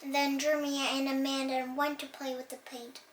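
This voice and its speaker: A woman's voice: sits high, little pitch movement, moderate pace. A woman reading a children's picture book aloud and adding small asides as she goes. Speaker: storybook reader. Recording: roomy, distant mic with faint noise.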